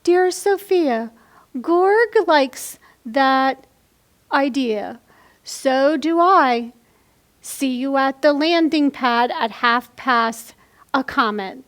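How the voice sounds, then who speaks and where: A woman's voice: high voice, expressive, very slow, full character voice. A woman reading a picture book as a loving, playful mother writing letters to her daughter.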